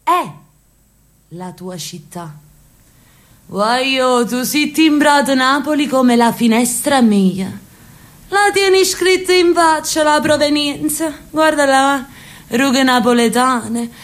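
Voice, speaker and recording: An aging sister from Naples, fond and stubborn, coaxing her brother in a radio play. Her voice sits fairly high for a woman, with a lilt and warm insistence. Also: slow pace. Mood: fond, insistent. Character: elderly Neapolitan sister, insistent and affectionate. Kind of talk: radio drama acting.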